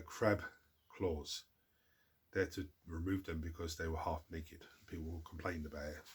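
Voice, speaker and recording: A low-voiced man with a husky, breathy tone, talking casually to his viewers. He sounds blocked up from a cold and tends to ramble, doubling back and restarting his words. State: congested from a cold. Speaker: hobby channel host answering viewer questions. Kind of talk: casual chat.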